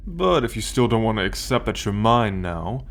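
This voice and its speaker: A man playing an arrogant, possessive alien soldier in an ASMR roleplay, condescending and menacing, speaking with deliberate pauses. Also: lowish voice, sing-song, gravelly. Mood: smug, threatening. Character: arrogant possessive male alien soldier, cold, darkly amused captor.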